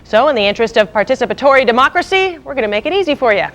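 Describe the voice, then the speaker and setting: News anchor, American woman, higher voice, punchy and wry, scripted with irreverent bite. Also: expressive, very fast, fairly bright tone. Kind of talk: scripted local TV news narration.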